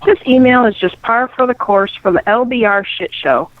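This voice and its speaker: A woman's voice: fairly high pitch, normal speed, no room sound. A dry, slightly lo-fi recording of a middle-aged woman. She reads written comments aloud as a guest, delivering them as a dramatic reading. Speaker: guest reader of listener comments. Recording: dry, band-limited radio-like sound.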